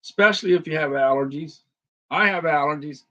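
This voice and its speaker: High, bright-voiced man chatting repetitively. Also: brisk, highs cut off. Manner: repetitive, chatty, talks to viewers by name. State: slightly worn voice. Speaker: livestream host reviewing deals. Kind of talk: casual live product chat.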